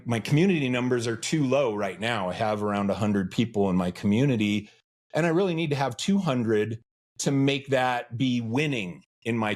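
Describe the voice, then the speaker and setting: A middle-aged man from the United States talks through his ideas as a podcast guest. His voice sits in an ordinary middle register, and his manner is earnest and reflective, often turning his own questions over aloud. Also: mid-range voice. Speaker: podcast guest, freelance educator. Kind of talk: conversational interview.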